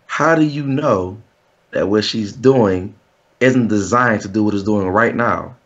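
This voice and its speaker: A man's voice: lowish voice, unhurried. A man on a casual talk show, interrupting and playing devil's advocate, repeating himself as he pushes his point. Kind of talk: casual argument. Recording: dull lo-fi audio.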